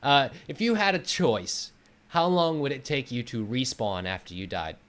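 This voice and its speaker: A man with a medium-pitched voice hosts a casual interview show. He speaks conversationally, putting questions and show remarks to the listener from a bedroom. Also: animated, moderate pace, fairly bright tone. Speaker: talk show host.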